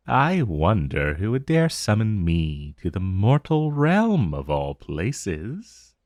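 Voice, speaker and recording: An ancient, urbane dragon, acted by a man in a fantasy audio roleplay. He drawls extremely slowly in a low voice that swoops dramatically, sounding amused and vaguely menacing. The sound is dry and intimate. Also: huge pitch swings, a little mellow, no room sound, exaggerated voice. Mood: curious, haughty. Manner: theatrical, drawling, savoring words, amused. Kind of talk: fantasy roleplay ASMR monologue.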